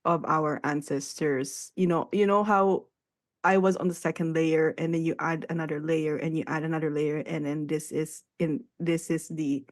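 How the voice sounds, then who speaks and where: A woman's voice: deep voice, fairly even intonation, moderate pace. A young woman from the Philippines hosting a radio talk show, chatting in a loose, reflective way and laughing often. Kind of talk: casual radio conversation.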